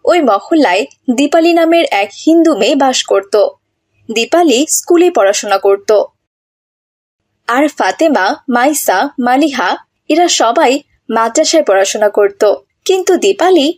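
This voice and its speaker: A woman's voice: high-pitched, lively intonation, unhurried, a little mellow. A woman reading scripted narration for a cartoon story.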